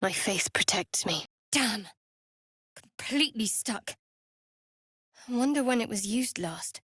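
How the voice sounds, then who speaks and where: An actress playing a guarded, devout young woman cleric in a video game, with a wry, measured delivery. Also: on the high side, expressive, a bit bright, husky, dry recording, clean studio sound, heavily acted. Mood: dry, muttering. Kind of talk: video game character voice acting.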